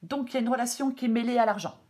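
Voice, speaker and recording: Slightly hoarse French woman reading tarot. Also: a little high, racing, darkish tone. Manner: hesitant, many fillers and repeated phrases. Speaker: tarot reader. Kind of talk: casual spoken tarot guidance.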